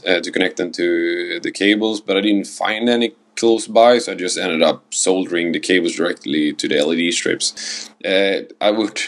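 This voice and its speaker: Deep gravelly man, halting voice-over. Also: a bit bright. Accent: Scandinavian. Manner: hesitant, many fillers. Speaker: sailboat owner vlogger. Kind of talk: voice-over how-to narration.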